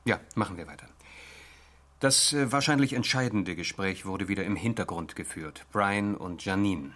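An actor playing an uneasy space official reviewing secret tapes, hesitant and measured, in a radio play. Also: male voice, low-pitched, fairly bright tone. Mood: worried, pensive.

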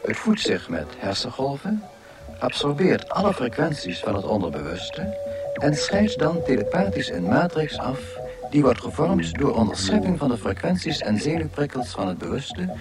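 An actor in a radio drama playing a dry, learned guidebook narrator, delivering scripted expository narration. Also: male voice, medium pitch, big pitch swings, normal speed, music in the background, lightly acted.

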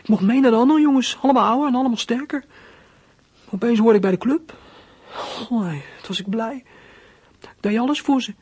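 A boy of about thirteen played by an actor, husky and breathy, in a close, dry sound. He confides like a young thief in a radio drama, colloquial, boyish, hurt and defiant. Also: medium pitch, fairly quick, pauses often, a little mellow, no echo, lightly acted. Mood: wistful, proud. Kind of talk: radio play acting, confiding first-person narration.

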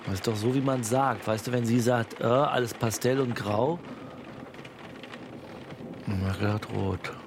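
Actor as a gravelly, rambling, clueless husband. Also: male voice, mid-range voice, measured pace, pauses often, noisy background, natural voice. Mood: rambling, earnest. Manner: casual, rambling, hesitant. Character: plain, slightly clueless husband defending himself. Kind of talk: improvised, naturalistic radio comedy acting.

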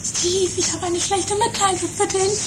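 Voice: extremely high pitch, flat delivery, moderate pace. A performer voicing a bossy, doting girlfriend in an improvised eighties comedy radio play. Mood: apologetic, fussy. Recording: very noisy home radio play.

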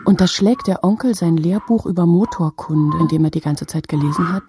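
A woman narrating a literary radio drama in a husky, breathy voice, with halting, fragmented phrasing. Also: on the low side, noisy background.

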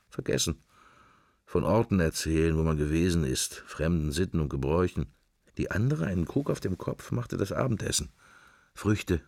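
Deep, gravelly, brooding daydreamer. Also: male voice, darkish tone, no room sound, studio quality. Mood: dreamy, languid. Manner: musing, fragmentary, murmured. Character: brooding middle-aged married man, furtive, sensual, drifting thoughts. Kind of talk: radio drama interior monologue.

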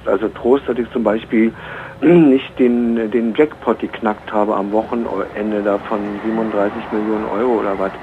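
A man calling a radio show, rambling and halting as he searches for words. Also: on the low side, fairly even intonation. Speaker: caller to a radio phone-in. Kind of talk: rambling casual storytelling. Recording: dull, noisy radio call recording.

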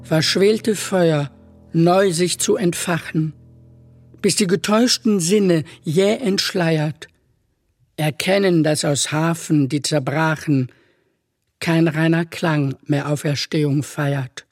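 A woman reciting verse in a measured, formal way. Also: deep voice, fairly even intonation, a little mellow, dry recording, studio quality, soft background music. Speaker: poetry reciter. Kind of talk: recited verse over music.

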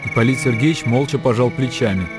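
Рассказчик-мужчина, голос средней высоты, тихая музыка. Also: male voice, medium pitch, fast talker, music in the background. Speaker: narrator. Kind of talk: scripted literary narration for radio drama.

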